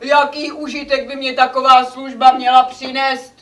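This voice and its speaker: Exasperated, reproachful master for stage comedy, male actor; very high-pitched, indignant, distant echoey sound. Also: flat delivery, pushed and bright. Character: indignant master scolding his slave. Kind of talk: live comic stage acting. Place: theatre stage. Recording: echoey stage recording from far off.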